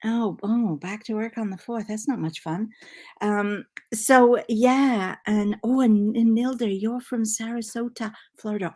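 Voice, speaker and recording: A middle-aged woman, originally from Liverpool, who now speaks with a Canadian accent and hints of her old one. She hosts a relaxed live stream from home, greeting viewers and rambling cheerfully with plenty of ums and asides, recorded on a laptop mic. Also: mid-range voice. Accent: Canadian English with faint Liverpool traces. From Liverpool, England. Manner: chatty, excitable, many fillers and asides. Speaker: knitting channel host. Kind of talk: casual live-stream chat.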